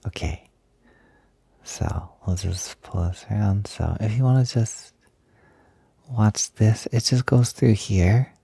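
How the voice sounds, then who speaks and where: A man playing an excited, doting, gentle young roommate in an intimate roleplay audio, soft-spoken and breathy, hesitant but gushing and reassuring. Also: lowish voice, slow pace, pauses often, dry recording, full character voice. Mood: playful, reassuring.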